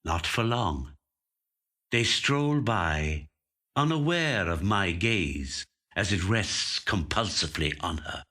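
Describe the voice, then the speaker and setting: Slow, deep-voiced male storyteller, bright and expressive. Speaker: professional actor reading a short story. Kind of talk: scripted literary narration. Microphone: studio microphone.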